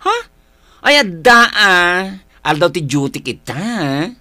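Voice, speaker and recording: A bubbly, giggly young Ilocano woman in a radio drama. Her bright voice leaps up and down freely in playful exclamations. The sound is dry and close. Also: fairly low pitch, big pitch swings, slow, dry recording, heavily acted. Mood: surprised, bubbly.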